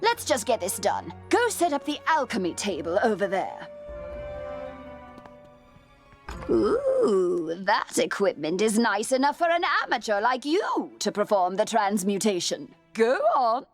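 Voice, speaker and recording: An actress playing a brisk, gossipy, wry outcast alchemy professor in a video game. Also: female voice, on the high side, sing-song, soft background music. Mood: brisk, bossy. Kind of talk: video game character dialogue.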